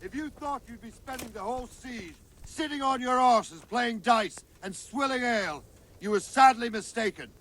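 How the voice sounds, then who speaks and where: An actor playing a brash, crude medieval lord in a game, addressing troops in a sardonic, declamatory way. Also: male voice, extremely high pitch, moderate pace, a bit bright, a little hiss or hum. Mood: rousing, sardonic. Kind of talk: dramatic game cutscene acting.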